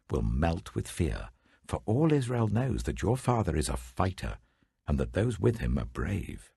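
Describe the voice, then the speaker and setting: A man voicing a cunning, persuasive courtier in a scripture audiobook, speaking with measured, emphatic rhetoric. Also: deep voice, creaky, a little mellow, husky, dry recording, studio quality, exaggerated voice. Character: smooth-talking courtier, cunning and persuasive loyalist spy.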